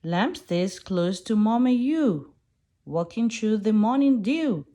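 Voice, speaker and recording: A woman reading a picture book aloud to young children in an expressive way, putting on animal sounds. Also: medium pitch, takes it slowly.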